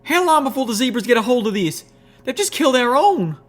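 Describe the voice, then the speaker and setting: A man voicing a fiery, proud country mare with a drawl, shouting in strained, indignant outbursts. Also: fairly high pitch, sing-song, fairly quick, pitched above the performer's own voice. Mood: furious. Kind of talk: audiobook reading.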